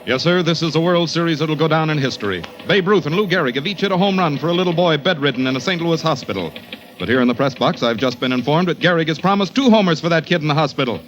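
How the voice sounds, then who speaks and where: Man acting as excited vintage baseball announcer, high-pitched. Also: fast, lightly acted, low-quality recording. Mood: suspenseful. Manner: dramatic, building suspense. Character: excited baseball radio announcer calling a game. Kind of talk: 1940s radio sports commentary acting. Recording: vintage radio broadcast with music.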